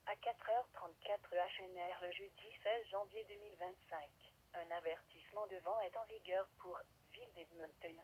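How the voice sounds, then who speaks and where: A synthetic-sounding woman reads a scripted emergency weather alert in a formal, measured way over weather radio. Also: lowish voice, a little mellow, poor audio. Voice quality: synthetic text-to-speech voice. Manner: formal, measured list reading. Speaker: automated weather alert announcer. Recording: hissy weather radio broadcast.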